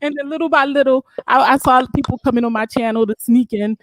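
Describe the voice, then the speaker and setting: A woman from Haiti on a group call, talking in an animated, playful way and laughing often. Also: high voice, quick pace, slight background noise, poor audio. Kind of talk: casual group discussion.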